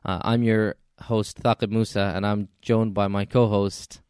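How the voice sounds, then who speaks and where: A male podcast host with a low-pitched, smooth, resonant voice. He talks in a polite, measured way with a touch of joking. The sound is close and dry, with no room around it. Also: level tone, a little mellow, no room sound. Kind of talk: casual interview chat.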